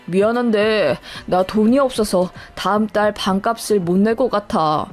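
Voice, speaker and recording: A woman's voice: medium pitch, normal speed, a little mellow, faint music behind, pitched below the performer's own voice, natural voice. A woman voicing a broke young boyfriend in a story, pleading tearfully for help.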